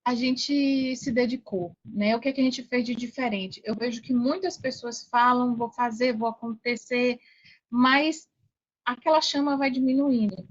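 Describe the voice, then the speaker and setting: A woman's voice: a little high, fairly even intonation, a little slow. A Brazilian woman speaking casually as an interview guest, recounting her experience. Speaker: podcast guest, affiliate marketer. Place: online video call. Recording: dull, lo-fi call audio.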